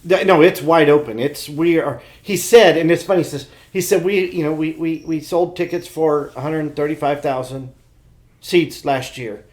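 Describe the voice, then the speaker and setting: A man's voice: on the high side, normal speed, darkish tone. An American man chatting casually as a podcast co-host. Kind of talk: casual chat.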